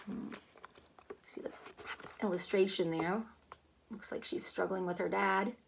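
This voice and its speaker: A woman's voice: medium pitch, steady tone, a little slow, creaky, background noise. A woman schoolteacher talking to young pupils during a read-aloud, explaining things simply. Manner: explanatory, addressing children simply.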